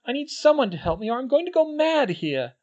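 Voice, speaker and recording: A man reading as an anxious, bookish teenage schoolgirl witch from a storybook, pitched well above his own voice. She speaks high and earnest, her pitch swinging widely, and the recording sounds dull and lo-fi. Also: very animated, a little fast, darkish tone. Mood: frightened, pleading. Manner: high, earnest, tearful pleading.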